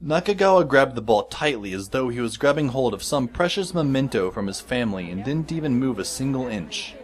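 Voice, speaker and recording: A man's voice: mid-range voice, sing-song, brisk, close to an everyday voice. A man playing a wry, observant teenage schoolboy who narrates an audio drama in a wordy, descriptive way. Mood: wry, intent. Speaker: first-person narrator. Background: music behind the voice.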